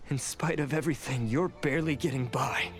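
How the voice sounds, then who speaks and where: A man's voice: mid-range voice, a little fast, lightly acted. An actor playing a guilt-ridden young male blue oni, anxious and pleading, in a video game. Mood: doubtful. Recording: game audio with music behind.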